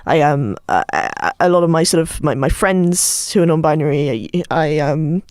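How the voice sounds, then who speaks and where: Halting woman in dry panel chat. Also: deep voice, animated, a little mellow, no room sound. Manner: hesitant, halting delivery with repeated words. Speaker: podcast panellist. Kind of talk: casual panel discussion.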